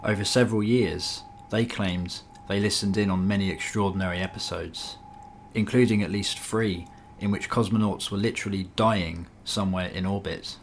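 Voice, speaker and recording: A man's voice: deep voice, fairly even intonation, normal speed, slight background noise. A man reading a scripted nonfiction piece as a documentary-style narrator.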